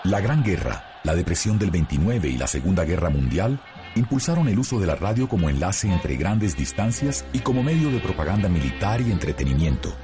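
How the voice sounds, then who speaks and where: A man's voice: deep voice, big pitch swings, brisk, over music. A man reading scripted documentary narration.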